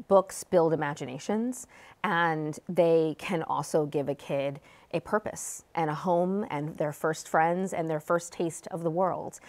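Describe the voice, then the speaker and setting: A woman's voice: on the low side, a little mellow. An American woman speaking as an author and guest in an interview, explaining her work. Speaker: author and guest, book advocate.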